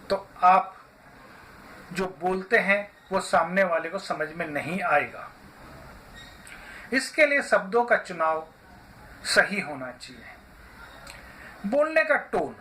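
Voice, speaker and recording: A man giving an instructional talk as a voice therapist, deliberately, phrase by phrase with frequent pauses. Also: high-pitched, sharp tone, a little hiss or hum, low-quality recording. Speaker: voice therapist and yoga therapist teaching.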